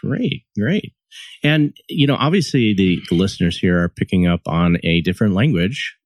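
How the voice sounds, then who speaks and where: A man's voice: sits low, animated, moderate pace, no room sound. A man hosting a podcast as a couples therapist, reading a scripted intro and then chatting with guests in a friendly, easy way with light laughter.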